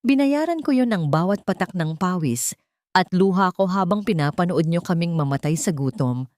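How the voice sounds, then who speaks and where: Calm but bitter young Filipino woman, narrator-acted. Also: fairly low pitch, normal speed, no room sound, studio quality, natural voice.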